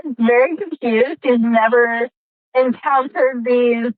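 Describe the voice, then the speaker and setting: A woman on a thin, phone-like connection chats as a player in a tabletop game. Her voice is fairly high and her talk is casual, with a dry sound. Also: slow, no room sound. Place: in a car, joining remotely. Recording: thin, phone-like audio from a car.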